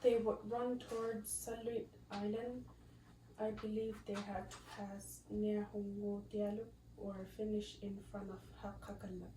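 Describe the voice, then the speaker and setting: A woman's voice: mid-range voice, dark tone. A young woman, a student, reading an elder's life story aloud. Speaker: student reader. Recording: roomy, distant mic, faint noise.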